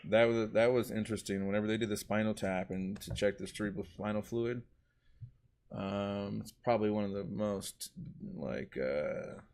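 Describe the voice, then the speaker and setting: A man's voice: fairly low pitch, moderate pace, darkish tone, clean tone. A man speaking earnestly as a guest in a live talk show, with many fillers. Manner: earnest, many fillers and "you know what I mean".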